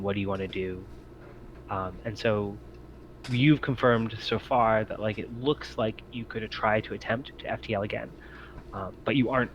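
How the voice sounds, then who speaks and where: A man with a fairly low voice acts as game master for a tabletop roleplaying game. He narrates casually and conversationally, in an easygoing way. The audio is a little dull, with faint noise behind him. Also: fairly bright tone.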